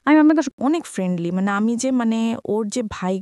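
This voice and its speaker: Casting: young woman, Bangladeshi accent, higher voice, conversational storyteller quoting others, recorded dry. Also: animated, brisk, mellow, dry recording. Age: young adult. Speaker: guest telling own life story.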